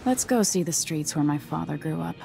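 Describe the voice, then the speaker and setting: An actress playing a determined young empress on a covert mission in a video game, speaking in a low-key, resolute way. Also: female voice, expressive, a little fast, creaky, background noise, full character voice. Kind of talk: video game cutscene dialogue.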